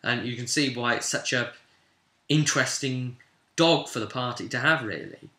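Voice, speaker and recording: A man acting as game master, recounting a tabletop fantasy game session informally like an animated storyteller speaking straight to his listeners. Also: medium pitch, normal speed. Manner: animated storyteller, voicing characters' lines and addressing listeners directly. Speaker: game master and host of a tabletop roleplay recap series. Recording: echoey, roomy sound.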